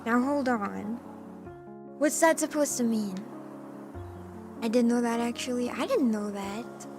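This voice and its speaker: Hoarse, chatty woman streamer. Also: a little high, measured pace. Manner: chatty and playful, often clearing her throat; cat persona with cat puns. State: hoarse from allergies. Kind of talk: casual chat with live chat. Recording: music playing in the background.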